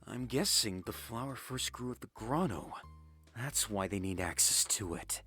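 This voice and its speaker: A man playing a brisk, thoughtful young adventurer in a fantasy visual novel, with a husky voice. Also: lowish voice, expressive, bright, forceful tone, faint music behind.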